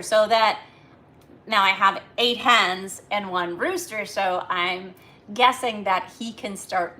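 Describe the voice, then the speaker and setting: Female livestreamer, bright voice, informal and chatty delivery, some noise behind her. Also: fairly low pitch. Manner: chatty, rambling, greeting viewers by name. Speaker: livestream host. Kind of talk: casual live chat with viewers.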